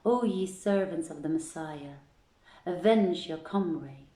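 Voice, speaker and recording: A woman reads as a young storybook princess, bold and commanding. The voice is low and unhurried, with formal, archaic phrasing that gives orders plainly, heard in an echoey room. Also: dark tone. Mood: imperious.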